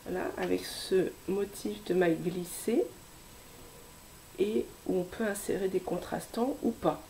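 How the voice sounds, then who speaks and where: A woman in her thirties, hoarse from a cold, hosting a casual knitting vlog with many hesitations and soft asides. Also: fairly low pitch, measured pace, a little hiss or hum, rough recording. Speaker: knitting podcast host.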